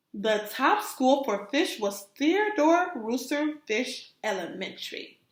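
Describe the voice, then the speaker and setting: High-voiced woman reading aloud to young children. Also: echoey room. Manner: expressive, prompting young listeners with questions. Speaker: kindergarten teacher. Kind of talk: animated children's read-aloud with questions to listeners.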